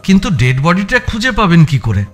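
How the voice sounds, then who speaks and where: A man playing a curious first-person narrator in a thriller audiobook, telling it with expressive suspense. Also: high voice, a little fast, faint music behind. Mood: inquisitive.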